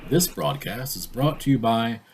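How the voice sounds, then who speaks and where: An actor playing an old-time radio announcer, closing the broadcast in a formal, declaiming way. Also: male voice, mid-range voice, sing-song, moderate pace, very noisy, close to an everyday voice. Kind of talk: community theater radio play.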